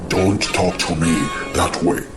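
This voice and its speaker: A man's voice: sits low, flat delivery, measured pace. A man playing a proud, pompous chief who lectures and takes offense over his title. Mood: indignant. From Nigeria. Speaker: film distributor and producer. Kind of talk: amateur radio drama acting. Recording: echoey room with background music.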